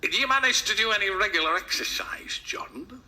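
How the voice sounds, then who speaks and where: A man plays a helpful female gym instructor in a comic storybook. The delivery is coaxing and suggestive, with a bright, lively voice. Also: high voice, a little fast, voice pushed up, exaggerated voice.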